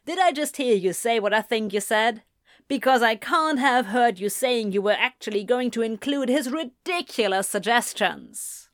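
A woman voicing an indignant, protective, no-nonsense woman with a brisk, insistent manner, in a story reading. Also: on the high side, expressive, fairly bright tone, dry recording, studio quality.

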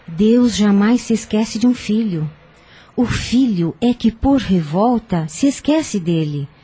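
Slow, mellow wise aunt. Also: female voice, medium pitch, lively intonation, husky, full character voice. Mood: solemn, consoling. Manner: measured, solemn, didactic. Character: wise, patient older aunt giving spiritual counsel. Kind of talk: radio soap opera acting. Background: music.

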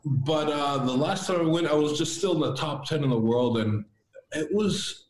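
A man who grew up speaking Latvian, a podcast guest talking in an earnest, reflective way with halting restarts. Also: a little high. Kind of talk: casual interview chat. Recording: roomy echo.

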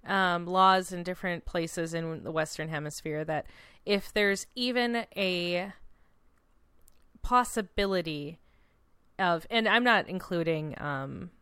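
A woman's voice: fairly low pitch, slow pace, bright, forceful tone, smooth, no room sound. A woman in casual, informal conversation, with a wry and playful manner. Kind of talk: casual chat.

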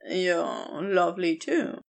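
A woman plays a flirtatious man in a storybook romance, bold and fond. The delivery is teasing and smooth, taken at an unhurried pace. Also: fairly low pitch, wildly swooping pitch, voice pushed down, exaggerated voice.

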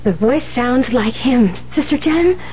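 An actress playing an earnest, hesitant young nun in a 1970s radio drama, speaking in a halting, unsure way. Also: female voice, a little high, expressive. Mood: anxious, unsure. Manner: halting, unsure, repeats words. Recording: muffled, hissy 1970s radio recording with hum.

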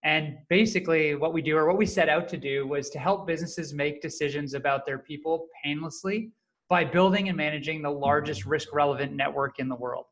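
A man in his thirties, a startup co-founder answering podcast questions in a friendly, joking, relaxed way. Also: a little high, fairly quick. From Canada. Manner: friendly, jokey, relaxed storyteller. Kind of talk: casual interview answers.

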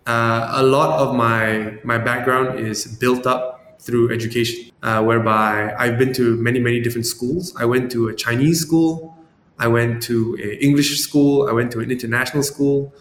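A man's voice: fairly even intonation, moderate pace, a bit bright. A man from Malaysia in his thirties, a startup founder answering interview questions thoughtfully, with many fillers and tag questions. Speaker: startup founder and CEO guest. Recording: echoey room with long reverb, faint rumble.

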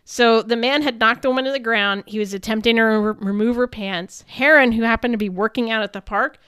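A woman's voice: on the high side, fairly even intonation, brisk, a bit bright, clean tone, no room sound, studio quality. A woman co-hosting a sports talk show, chatting casually and giving her opinions as she recaps the news.

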